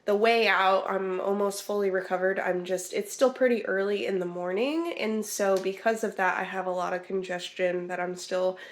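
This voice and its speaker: A woman's voice: medium pitch, fairly even intonation, moderate pace, hardly any pauses. A woman chatting casually in a crochet vlog, sounding congested and a little nasal from a sinus infection, rambling with frequent ums. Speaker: crochet vlogger.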